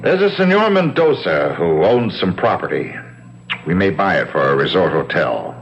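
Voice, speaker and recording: Deep-voiced, needling boss. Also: male voice, big pitch swings. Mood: breezy, needling. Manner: dry, brisk, blandly teasing. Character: needling, breezy male boss of resort sales company. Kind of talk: 1970s radio drama acting. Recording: dull, faintly noisy 1970s radio broadcast.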